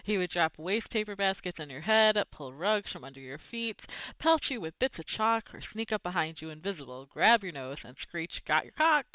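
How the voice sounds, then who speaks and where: A woman narrates a children's book with very lively, swooping intonation and dramatic emphasis. Her pitch sits in a middle range, and the recording is dry and slightly muffled. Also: moderate pace, no room sound. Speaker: storybook reader. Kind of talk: expressive children's book read-aloud narration.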